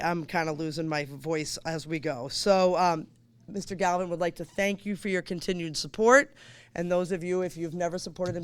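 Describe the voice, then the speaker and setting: A hoarse woman, speaking as a campaign surrogate at a candidates night in a chatty, self-interrupting way with informal asides. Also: low voice, no echo. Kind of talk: stump speech at a candidates night.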